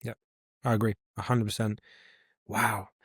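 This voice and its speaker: A man's voice: lowish voice, level tone, moderate pace, fairly bright tone, slightly rough, no room sound, clean studio sound. A man in his forties hosting a podcast, talking casually and enthusiastically, often repeating his points for emphasis. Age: 40s. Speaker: podcast host.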